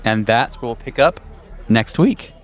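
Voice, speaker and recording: A man's voice: medium pitch, expressive, measured pace. A man narrating a tabletop horror game as its game master and host.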